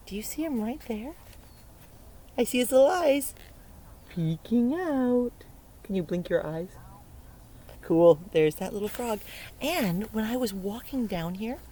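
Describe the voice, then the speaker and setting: Woman reading to children, very animated pitch. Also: a little hiss or hum. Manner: exaggerated wonder, simple questions to the listener, playful sound words. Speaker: early learning educator reading a picture book aloud. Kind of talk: interactive read-aloud for young children. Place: outdoors beside a lake.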